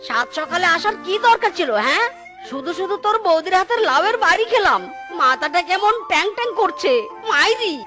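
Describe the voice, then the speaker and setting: A woman's voice: extremely high pitch, lively intonation, brisk, over music. A woman voicing a lazy, good-humoured fox husband in a children's cartoon, talking in a teasing, colloquial way. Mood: groggy grumbling. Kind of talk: animated children's cartoon dialogue.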